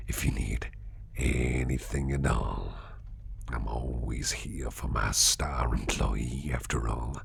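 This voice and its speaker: Man acting very low, gravelly whispering boss. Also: exaggerated voice. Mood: warm, caring. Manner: gentle, slow, reassuring. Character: kindly, attentive businessman boss soothing a star employee. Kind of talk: whispered ASMR roleplay. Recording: clearly audible background noise.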